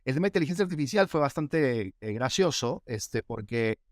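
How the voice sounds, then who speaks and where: A man's voice: medium pitch, lively intonation, brisk, no echo, studio quality. A man with a Peruvian accent talking casually as an interview guest, often adding little tag questions. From Peru. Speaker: podcast guest, AI executive.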